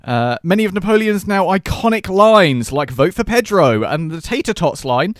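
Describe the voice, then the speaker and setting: Higher-pitched young man, casual podcast chat. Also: very animated, normal speed, dry recording, clean studio sound. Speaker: film podcast co-host.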